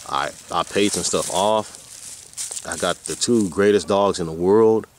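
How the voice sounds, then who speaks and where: A low, deep male voice around forty talks off the cuff in a loose, folksy ramble. It is a casual vlog recorded outside, with noticeable ambient noise around him. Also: takes it slowly. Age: about forty. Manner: folksy, colloquial, drifting into asides to his dogs. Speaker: vlogger. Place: outdoors on a hike. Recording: noisy outdoor ambience.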